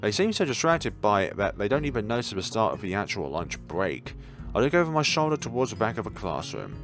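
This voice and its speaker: A young man with a fairly low voice reads a visual novel aloud for a let's play. His intonation is very lively, with small stumbles and casual asides. Soft music plays behind him. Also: fairly quick. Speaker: let's player.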